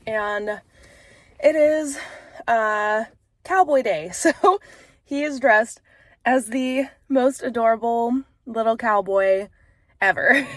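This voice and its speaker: A woman's voice: fairly high pitch, no room sound. A woman in her thirties with a stuffy, congested voice, talking frankly and casually to camera with frequent fillers. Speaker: vlogger and author.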